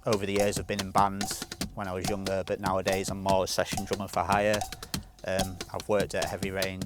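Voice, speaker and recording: A man's voice: sits low, completely flat delivery, fairly quick. A man, a session drummer introducing himself plainly and matter-of-factly in a documentary interview. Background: loud music.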